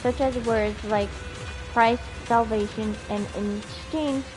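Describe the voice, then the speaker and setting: Female game streamer, higher register, lively and informal, clear game music underneath. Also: slow, poor audio. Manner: giggly, animated reactions, stumbles when reading aloud. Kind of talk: livestream chat while reading game dialogue aloud. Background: video game music.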